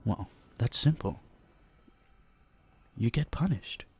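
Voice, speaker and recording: A man playing an obsessive, possessive vampire captor in an intimate audio drama, hushed, teasing and dominant, menacingly sweet, dipping into whispers. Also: medium pitch, lively intonation, slow pace, slightly rough, exaggerated voice. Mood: smug menace. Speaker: voice actor. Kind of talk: intimate roleplay audio drama, addressing the listener.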